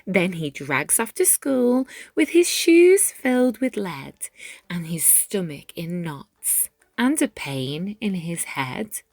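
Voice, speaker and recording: A woman reading a rhyming children's picture book aloud, with measured, dramatic phrasing and deliberate pauses. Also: lowish voice, sing-song, darkish tone, no room sound. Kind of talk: expressive children's read-aloud narration in rhyme. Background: music.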